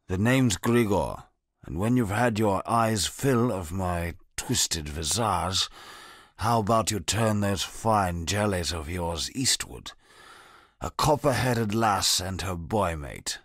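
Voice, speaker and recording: An actor playing a bitter, disfigured male convict in a video game, talking in a low, brooding, sardonic way with a gravelly, husky voice. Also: a bit bright, dry recording, exaggerated voice. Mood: gruff wary. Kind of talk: game character voice lines. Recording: dry studio recording.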